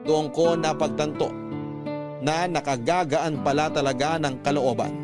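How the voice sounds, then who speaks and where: A man's voice: fairly high pitch, steady tone, unhurried, over loud music, close to an everyday voice. An actor playing a young man who narrates his own confession in a radio drama, earnest and reflective. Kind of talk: dramatised first-person letter narration.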